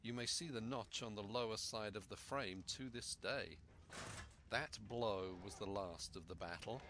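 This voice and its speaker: A man reading a story aloud as an expressive narrator. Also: lowish voice, unhurried, a crowd in the background. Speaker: storyteller, audiobook reader.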